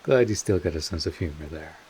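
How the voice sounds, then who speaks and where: A man playing a wry, laid-back vampire mentor in an intimate audio drama, casual and sardonic, with drawn-out pauses, protective and a little world-weary. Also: rumbling low, animated, a little mellow, a little hiss or hum. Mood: amused, teasing.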